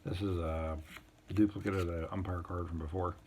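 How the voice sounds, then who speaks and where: A man casually showing a collection on an unscripted video, reading items out in short, halting phrases with muttered asides. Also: sits low, fairly even intonation, darkish tone, slight background noise. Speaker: collector showing vintage baseball cards. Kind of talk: casual unscripted show-and-tell, reading out cards.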